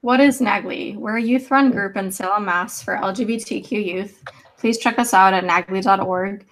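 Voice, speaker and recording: A woman's voice: mid-range voice, normal speed, fairly bright tone. A woman in her mid-twenties co-hosting a casual live stream in an easygoing way, with ums between thoughts. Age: 20s. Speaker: co-host and adult advisor.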